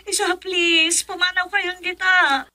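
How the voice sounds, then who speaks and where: Anxious, piercingly high Filipino young wife. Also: female voice, flat delivery, slow pace, bright, forceful tone, heavily acted. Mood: pleading. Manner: pleading, urgent. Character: anxious young wife pleading with her father. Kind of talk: Filipino radio drama acting.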